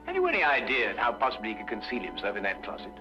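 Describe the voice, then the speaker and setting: An actor playing a cool, inquisitive gentleman detective, musing and courteous, in an old-time mystery drama. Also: lowish voice, huge pitch swings, racing, over music. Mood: intrigued. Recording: dull old radio recording.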